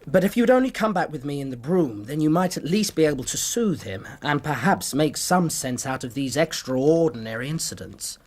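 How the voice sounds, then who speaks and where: An actor in a period radio drama playing an earnest, anxious British doctor, formal, conciliatory and precise. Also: male voice, on the high side, expressive, fairly quick, hardly any pauses, a little mellow. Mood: earnest, persuasive. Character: earnest, anxious, well-mannered Victorian male doctor.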